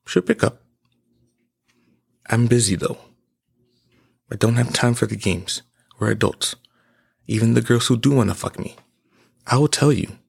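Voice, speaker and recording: A man's voice: mid-range voice, unhurried, creaky, pauses often, breathy edge. A man in his twenties giving an emphatic, preachy personal monologue as a podcast host. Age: 20s.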